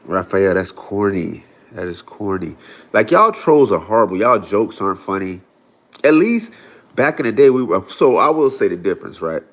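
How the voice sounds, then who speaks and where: A man's voice: on the low side, big pitch swings, normal speed. A man, talking casually and loosely to viewers on a livestream. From Florida. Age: 40s. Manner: loose, chatty, lots of "y'all" and "right?". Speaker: livestream host.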